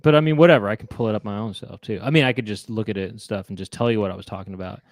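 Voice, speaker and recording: A man's voice: on the low side, very fast, no room sound. A man co-hosting a casual chat show, joking and rambling with lots of restarts. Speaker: co-host.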